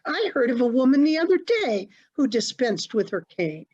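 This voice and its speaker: A woman acts a polite older society lady from a stage comedy. Her voice is fairly high, genteel and placating. The sound is dull, like an online call. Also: sing-song, moderate pace, a little mellow, husky. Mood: chatty, puzzled. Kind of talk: stage comedy acting, one-act play.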